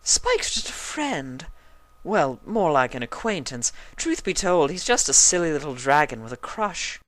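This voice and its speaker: A woman's voice: deep voice, sing-song, a little slow, a bit bright, no room sound, pitched above the performer's own voice, heavily acted, low-quality recording. A woman voicing an elegant, haughty female cartoon pony fashionista with affected, dramatic, refined diction. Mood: airy disdain.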